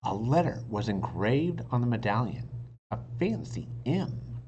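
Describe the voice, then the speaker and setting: A man reading a children's book aloud in an expressive narrating style. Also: mid-range voice, unhurried. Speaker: storybook reader. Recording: dull sound, faint noise.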